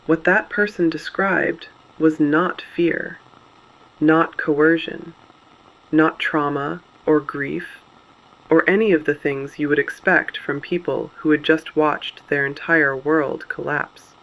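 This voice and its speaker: Creaky-voiced, solemn resistance broadcaster played by a man. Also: fairly high pitch, steady tone, fairly bright tone, heavily acted, low-quality recording. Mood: solemn, measured. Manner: measured, solemn, deliberate phrasing. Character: grave adult male resistance broadcaster reporting on fallen cities. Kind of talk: scripted dystopian radio broadcast narration.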